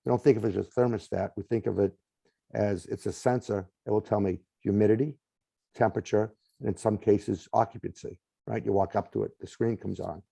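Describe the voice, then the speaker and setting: Gravelly low Boston man explaining tech. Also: fairly even intonation, brisk, mellow, dry recording. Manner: explanatory, lists items, frequent "right?" tags. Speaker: smart home company guest. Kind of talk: conversational interview.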